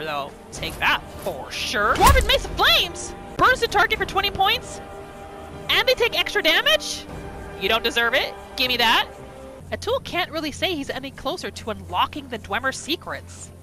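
A woman's voice: high voice, sing-song, normal speed. A woman hosting a gaming video, giving reactive roleplay commentary over gameplay. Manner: reactive, narrates her character in third person. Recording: faint game music behind.

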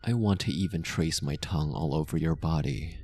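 A man playing a possessive, lovestruck mafia boss in an intimate ASMR roleplay, speaking softly and coaxingly, obsessive yet tender. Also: very deep voice, faint music behind. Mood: seductive, possessive. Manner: slow, soft, coaxing, close and intimate.

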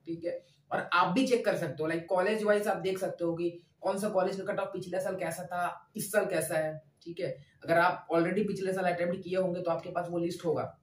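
A man giving an informal whiteboard lesson, conversational, repeating his points and often checking in with short tag words. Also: high voice. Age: 20s. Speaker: exam coaching teacher. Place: small indoor room at a whiteboard. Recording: roomy echo, distant microphone.

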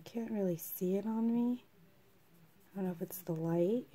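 A woman with a froggy, hoarse voice from a cold, chatting casually as she unboxes beauty products at her vanity table, often half-whispering and clearing her throat. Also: medium pitch, normal speed, warm tone. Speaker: beauty vlogger. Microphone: camera over the table, hands only in view.

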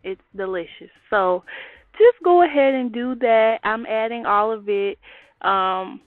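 A young woman with a fairly high voice chats casually through a recipe in a small home kitchen, speaking slowly and using slang with her viewers. The sound is dry and a little dull, with faint music under her. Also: no echo. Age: young adult. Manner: chatty, slangy, direct to viewers. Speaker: cooking vlogger. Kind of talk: casual cooking tutorial.

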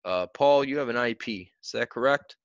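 A man voicing a middle-aged male soccer coach who lays down the rules in a firm, measured way. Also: medium pitch, dry recording, dull audio, lightly acted. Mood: matter-of-fact. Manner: firm, measured, rule-bound. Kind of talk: audiobook character voice.

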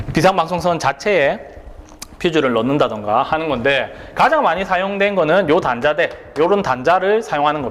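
A man's voice: fairly high pitch, long reverb tail. A male instructor giving an exam prep lecture, posing rhetorical questions and checking that students follow along.

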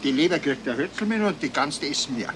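An actor playing a stout, thrifty, middle-aged police commissioner with a Bavarian accent, grumbling in folksy fashion in a radio crime drama. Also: male voice, on the high side, animated, quick pace, noisy background, natural voice. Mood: folksy, unhurried. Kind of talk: 1970s German radio crime drama acting.

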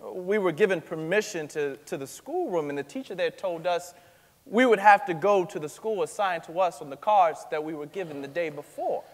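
In a reverberant theatre, an earnest teenage boy acted by a young man gives testimony in a dramatic reading. His voice is on the high side, and his answers are plain, halting and respectful. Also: natural voice. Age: young adult. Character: earnest fifteen-year-old boy testifying as a witness. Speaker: student actor in a staged reading. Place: large theatre stage, indoors. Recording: echoey theatre hall, long reverb.

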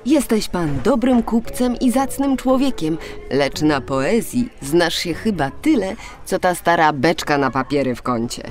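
A woman's voice: lowish voice, very animated, measured pace, darkish tone, faint music behind. A woman voicing a poor, earnest young male student in a children's storybook, speaking eagerly and emphatically.

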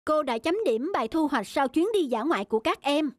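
A woman voicing a gentle, caring schoolteacher in a cartoon, with a measured, instructive manner. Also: high voice, expressive, no room sound, studio quality. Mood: earnest. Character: gentle, caring female schoolteacher addressing her class. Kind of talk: animated cartoon dubbing.

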